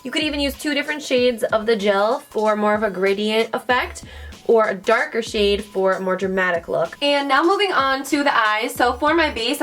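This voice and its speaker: A young woman with a raspy voice from recent illness, chatting bubbly through a makeup tutorial and calling her viewers guys. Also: fairly high pitch. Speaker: beauty vlogger. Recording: mic at a distance, soft background music.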